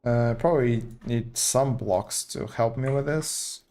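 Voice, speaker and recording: A man's voice: mid-range voice, slow pace, dark tone. Man thinking aloud. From Portugal. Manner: thinking aloud while building, casual and chatty. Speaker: streamer. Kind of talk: live gameplay commentary.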